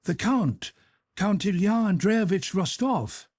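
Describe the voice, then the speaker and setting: A well-bred young officer of eighteen, as a male narrator voices him, pitched somewhat above the reader's own husky voice. He speaks politely and a little hesitantly, with a touch of vexation. The sound is close and dry. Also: sing-song, slow pace, a little mellow, no room sound, heavily acted.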